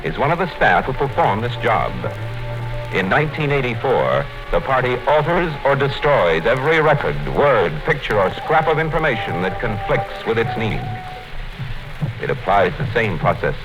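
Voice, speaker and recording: A man narrates a 1950s radio play in a grave, deliberate tone. His pitch moves a great deal. Music plays clearly under the old broadcast. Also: very animated, lo-fi sound.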